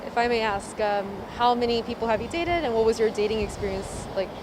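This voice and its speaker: A woman's voice: fairly high pitch, brisk. A woman working as a street interviewer, putting questions to people. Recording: clear street traffic noise.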